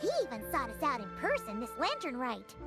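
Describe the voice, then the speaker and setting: A woman voicing a tiny, childlike fairy guide in a video game, squeaky, bubbly and cheeky. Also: very animated, fairly quick. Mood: wistful, cheeky. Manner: bubbly, childlike, speaks of self in third person. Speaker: game voice actor. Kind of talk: cartoonish game mascot acting. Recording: loud game music behind.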